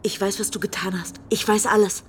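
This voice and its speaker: A woman's voice: medium pitch, fairly even intonation, brisk, noisy background, close to an everyday voice. An actress playing a scornful, indignant woman in a German radio drama, cutting and sarcastic as she accuses. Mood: accusing, bitter.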